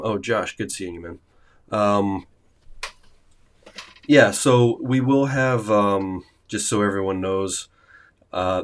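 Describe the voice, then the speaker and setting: Deep-voiced man hosting a casual livestream. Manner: rambling, many fillers and restarts. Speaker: host of a language-learning livestream.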